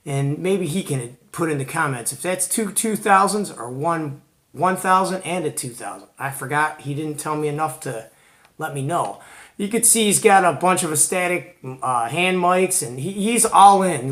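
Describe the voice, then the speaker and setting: A man's voice: a little high, a bit bright. A man from Georgia hosting a hobby show and tell, chatting casually and informally with plenty of slang. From Georgia, United States. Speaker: host of a CB radio hobby show.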